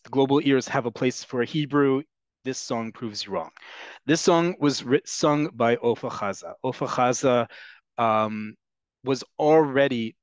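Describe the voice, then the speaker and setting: A man's voice: medium pitch, clean tone, no echo. Man, Hebrew teacher, online class, earnest explaining, ums, muffled dry call audio. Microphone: video call.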